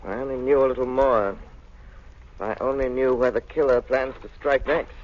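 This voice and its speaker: An actor playing a cultured, analytical gentleman detective in a 1930s radio drama. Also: mid-range voice, steady tone, normal speed, natural voice. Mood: thoughtful, grave. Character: suave, analytical gentleman sleuth, calm and cultured. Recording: dull, hissy 1930s radio recording.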